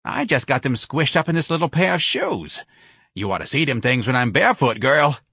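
A man's voice: medium pitch, sing-song, normal speed, gravelly, sharp tone, slightly rough, dry recording, clean studio sound, pitched above the performer's own voice, full character voice. A man voicing a whiny, self-pitying older working-class mother in an audiobook, plaintive and folksy. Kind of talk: audiobook character dialogue.

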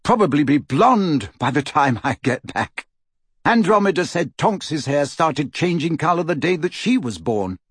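A middle-aged wizard from a storybook, acted out by a male reader, weary yet emphatic. The voice is husky and very animated, with formal, declarative phrasing and a close, dry sound. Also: a little high, unhurried, no room sound, pitched above the performer's own voice, full character voice. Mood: happy, warm. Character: frightened then overjoyed weary middle-aged male wizard werewolf friend.

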